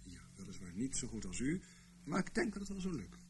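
A man's voice: mid-range voice, sing-song, quick pace, slight background noise, lightly acted. A man acting in a radio play as a wry, officious senior civil servant in intelligence, urbane and mock-regretful. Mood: firm, officious.

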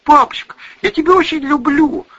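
A man's voice: very high-pitched, lively intonation, normal speed, pushed and bright, lo-fi sound, pitched above the performer's own voice, exaggerated voice. A man voicing a hurt, defiant teenage daughter in an emotional, accusing manner for an audiobook story. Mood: tender, subdued.

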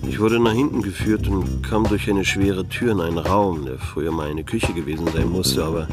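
A man's voice: deep voice, fairly even intonation, moderate pace, natural voice. A man playing a wry, hard-boiled private detective in a noir radio play, with a dry, sardonic delivery. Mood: brooding narration. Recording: music under the voice. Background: music.

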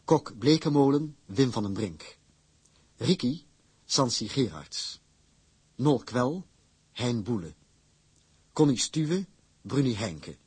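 A man announces radio drama credits formally from a script. His voice is very husky and his pace slow. The sound is close and dry, with each phrase set out deliberately. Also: medium pitch, expressive, a little mellow, dry recording.

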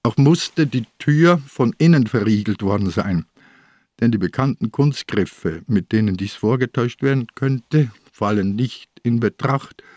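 An older actor in a radio crime drama playing an old, bedridden police inspector, grave and blunt. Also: male voice, medium pitch, expressive, unhurried, darkish tone, dry recording, clean studio sound. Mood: grave reading.

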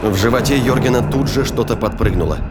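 A man's voice: medium pitch, quick pace, over loud music. A man narrating a science fiction audio drama in a scripted storytelling style. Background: ambience and sound effects.

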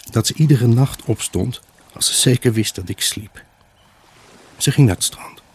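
A brooding, troubled husband in a radio drama. His voice is low and husky, with a rough grain. He recounts his unhappy marriage as an intimate dramatic monologue. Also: full character voice. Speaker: actor narrating a radio drama. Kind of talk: dramatic first-person monologue in a Dutch radio play.

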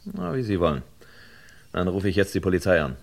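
A wary, determined male hero of a radio crime play, low in pitch. He sounds measured and insistent, pressing with questions and firm terms. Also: wildly swooping pitch, vocal fry, slight background noise, heavily acted. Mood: firm, bargaining. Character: wary, determined man bargaining and probing an old murder. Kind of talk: German radio crime drama acting.

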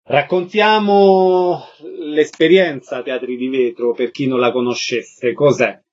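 A man's voice: mid-range voice, fairly even intonation, slow, darkish tone, lo-fi sound. A man presenting a radio programme and putting questions to a guest. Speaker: radio host.

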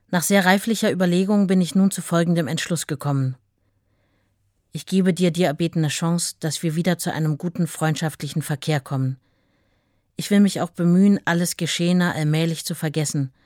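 Radio play casting: woman playing hurt but firm estranged wife, very low measured voice, close and dry. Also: darkish tone, dry recording, close to an everyday voice. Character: deeply hurt, resolute estranged wife writing to her husband. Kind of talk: radio drama letter reading.

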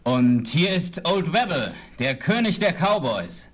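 A proud eager cowboy type, highish voice going up and down, old western audio drama. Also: male voice, big pitch swings, takes it slowly, a bit bright, heavily acted. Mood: proud excitement. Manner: proud, announcing with flourish. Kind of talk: 1970s German western audio drama acting.

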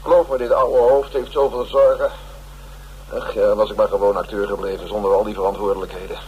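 A man acts out an older theatre company boss in a radio play, his voice on the low side. He speechifies pompously and pities himself. The recording is noisy. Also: steady tone, a little fast, darkish tone, lo-fi sound, close to an everyday voice. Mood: self-pitying. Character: pompous older male theatre company director, self-pitying. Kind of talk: radio drama acting.

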